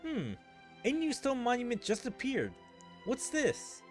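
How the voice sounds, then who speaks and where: A man's voice: extremely high pitch, wildly swooping pitch, measured pace, music in the background, voice pushed up, heavily acted. A man reading game story dialogue as an eager, plucky adventurer, chatty and full of exclamations. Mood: pleased.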